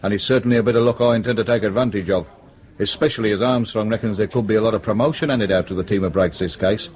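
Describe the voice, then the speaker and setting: A man's voice: lowish voice, fast talker, darkish tone, natural voice. A man acting in a radio drama as a cocky, ambitious police detective with a dry, casual way of talking. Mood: pleased, eager.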